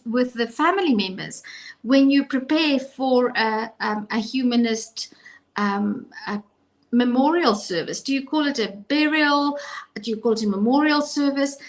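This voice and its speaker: A woman's voice: high voice, rough recording. A woman of fifty co-hosting a live-streamed talk show, chatty and self-mocking, with many restarts as she asks her questions. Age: 50s. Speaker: co-host.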